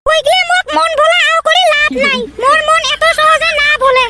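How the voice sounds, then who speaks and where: A man acts out a cartoon village mother with an extremely high, squeaky voice. She is nagging yet soft-hearted and has a northern Bengal accent. Loud noise runs through the recording. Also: fairly quick. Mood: indignant. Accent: northern Bengal (Cooch Behar region). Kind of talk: comedy cartoon voice acting in rural dialect.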